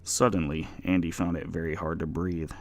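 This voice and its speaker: A man's voice: low-pitched, level tone, moderate pace. A man giving a dramatic scripted reading as the narrator of a darkly comic story.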